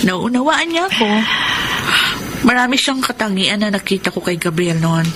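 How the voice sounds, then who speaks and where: A woman's voice: medium pitch, unhurried, background noise, natural voice. A woman with a Filipino accent acting a sweet, guarded young woman with a troubled past in a radio drama. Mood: hesitant, confiding.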